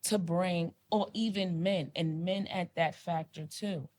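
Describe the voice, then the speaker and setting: A woman's voice: fairly low pitch, steady tone, a little slow, a little mellow, a little hiss or hum. A woman co-hosting a podcast, speaking with passion, repeating herself as she pleads with listeners. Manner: impassioned, repetitive, pleading. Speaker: podcast co-host. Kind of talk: earnest podcast discussion.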